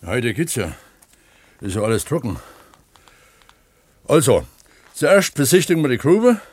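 An actor playing an eager, earnest pump attendant at an opencast mine in a naturalistic radio drama, explaining things like a tour guide. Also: male voice, mid-range voice, sing-song, measured pace, a little mellow, breathy edge, dry recording. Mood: eager, considerate.

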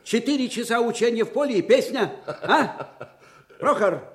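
Актёр играет бойкого, простонародного, душевного и колючего старого русского полководца в советской радиопостановке. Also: male voice, extremely high pitch, sing-song, normal speed. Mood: jolly, excited. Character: spry, folksy old Russian field marshal, warm and peppery. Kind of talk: 1980s Soviet radio drama acting.

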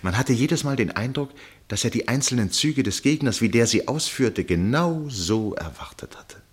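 An actor playing an absorbed first-person narrator in a literary radio play, measured and suspenseful, with deliberate pauses and a husky voice. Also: male voice, medium pitch, lively intonation. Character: absorbed male first-person narrator watching a tense match.

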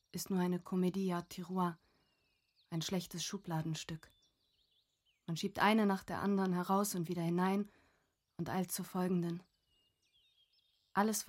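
A woman's voice: on the low side, a little mellow. An actress playing a reflective, wistful young woman reading her diary in a literary radio drama, composed and carefully articulated.

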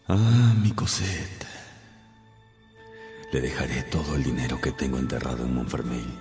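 Echoey, a tired noble old convict, super deep, grave and resigned, radio play, faint music. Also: male voice, a little mellow. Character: noble, weary, self-denying fugitive ex-convict, older man. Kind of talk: Spanish-language radio drama acting. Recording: long cavernous studio reverb, music behind.

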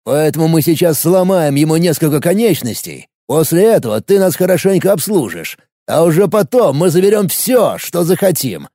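A crude, brutal gang boss who sneers and threatens, his dark, mellow voice rising a little at the cruel edges as the male narrator reads him. He sounds close and dry, for an audiobook. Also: on the high side, big pitch swings, moderate pace, hardly any pauses, dry recording. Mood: menacing. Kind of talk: audiobook character voice.

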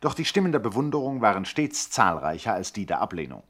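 A man's voice: lowish voice, very animated, quick pace, fairly bright tone. A man narrating a scripted radio play in a declamatory, mock-epic manner.

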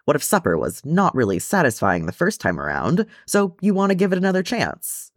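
A man's voice: fairly high pitch, huge pitch swings, a little fast, no echo, studio quality, voice pushed up. A man voicing a cheerful, food-loving young male hobbit in a storybook, chattering eagerly and self-importantly. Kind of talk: storybook character reading.

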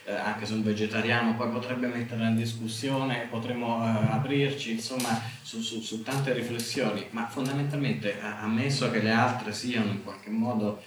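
A man's voice: medium pitch, fairly even intonation, normal speed, few pauses. A man speaking at a conference in a spontaneous academic debate, arguing his point with hesitations and false starts. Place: reverberant conference room. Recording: very echoey room, faint noise.

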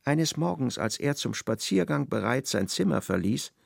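A man with a low, creaky voice narrating a literary radio drama. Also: expressive, moderate pace.

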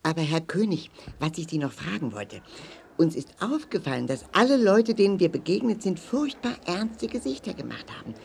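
Eine Schauspielerin spricht einen freundlichen Zahndoktor in einem Kinderhörspiel, mit rauchiger Stimme, eifrig erklärend, betont und ermutigend. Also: female voice, fairly low pitch, very animated, moderate pace, vocal fry, a little mellow, slight background noise. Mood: curious. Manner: emphatic, explanatory, encouraging. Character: friendly, instructive tooth-care doctor, eager teacher. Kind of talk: children's audio drama.

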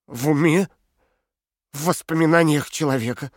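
A man's voice: on the high side, moderate pace, no room sound, close to an everyday voice. Man playing a fearful, stubborn captive, dry sound. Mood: wary, stubborn. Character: captive male dissident under interrogation, fearful yet stubborn. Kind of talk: audio drama acting.

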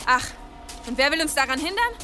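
A very high-pitched young woman of the village, scornful and quick to command. She is sharp-tongued and defiant, a radio-drama heroine with music behind her. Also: very animated. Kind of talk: German audio drama acting.

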